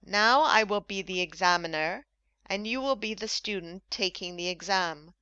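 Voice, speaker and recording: A woman hosting an English-lesson podcast, speaking slowly and enunciating carefully for learners. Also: medium pitch, sharp tone, smooth, no room sound. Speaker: English teacher and podcast host. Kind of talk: scripted language lesson. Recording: dull lo-fi dry recording.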